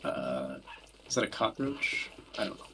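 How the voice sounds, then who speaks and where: A man giving casual podcast commentary, explaining things in a wordy, halting way. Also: very deep voice, big pitch swings, background noise. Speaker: podcast host presenting video games. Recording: music playing behind the voice. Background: background music.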